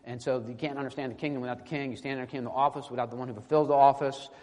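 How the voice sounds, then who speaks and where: A man preaching an informal, teaching-style sermon in a halting, conversational way, often checking in with short tag questions. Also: medium pitch, steady tone, darkish tone. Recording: echoey hall with long reverb.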